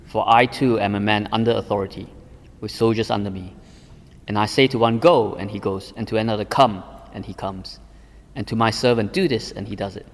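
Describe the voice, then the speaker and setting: A humble soldier-commander pleads earnestly for his servant, read aloud by a man. His lowish voice stays plain and sincere. A long reverb hangs in the hall. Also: a little fast, natural voice.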